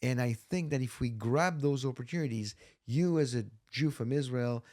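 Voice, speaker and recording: Male panelist, reflective and measured, lots of "uh"s and pauses, middle pitch. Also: a little mellow. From France. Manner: reflective, measured, many fillers. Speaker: ministry teacher, panelist. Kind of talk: casual panel discussion.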